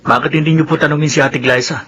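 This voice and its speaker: An actor playing an easygoing, respectful young Filipino son and boyfriend in a radio soap opera. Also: male voice, a little high, fairly even intonation, fast, sharp tone, husky. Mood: curious, puzzled. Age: young adult.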